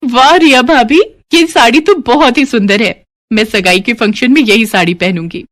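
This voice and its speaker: Girlish young bride-to-be, played by a woman, gushing, easily swayed, lifted pitch, cartoon family tale. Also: normal speed, fairly bright tone, natural voice. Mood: eager. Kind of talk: animated moral story character dialogue.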